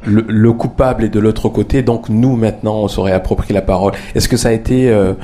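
A man hosting a discussion, asking his questions in a measured, hesitant way. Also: lowish voice, steady tone, warm tone. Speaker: host. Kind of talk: moderating a discussion.

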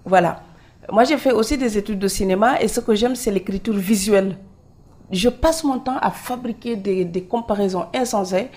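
A woman from Senegal, an author being interviewed, telling her stories in a lively way and laughing easily. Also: medium pitch, normal speed. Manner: lively storyteller, laughs easily, acts out quoted dialogue. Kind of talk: conversational interview.

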